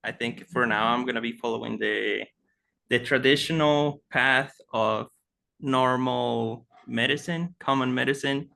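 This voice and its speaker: A man's voice: medium pitch, steady tone, a little slow, creaky, bright, forceful tone. Hesitant young man with a Mexican accent. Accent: Mexican Spanish. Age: young adult. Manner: hesitant, repeats words. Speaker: webinar attendee, college student. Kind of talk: casual conversation. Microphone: computer mic on video call.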